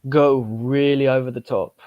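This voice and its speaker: A man's voice: medium pitch, fairly even intonation, unhurried, no room sound, dull audio. A young British man chatting on a podcast about language learning, rambling with fillers and restarts. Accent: British English. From United Kingdom. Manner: rambling, many fillers and restarts, laughs easily. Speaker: podcast guest, polyglot. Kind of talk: casual podcast chat.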